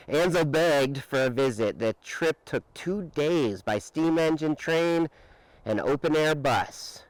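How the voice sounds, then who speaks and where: A man reading a children's picture book aloud with expressive, dramatic emphasis and drawn-out words. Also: on the high side, no room sound, rough recording. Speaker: storybook reader.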